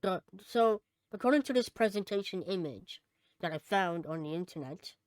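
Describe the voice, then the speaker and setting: A woman co-hosting a casual podcast, chatting and reading out news items, somewhat halting when she reads aloud. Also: fairly low pitch, animated, a little mellow, dry recording. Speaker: podcast co-host.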